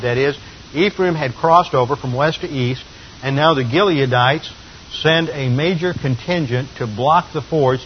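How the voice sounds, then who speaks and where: A man teaching a Bible passage verse by verse, explaining and correcting himself as he goes. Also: mid-range voice. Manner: explanatory, with frequent fillers and self-corrections. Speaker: pastor teaching a Bible study. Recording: slightly dull lo-fi recording with faint hiss.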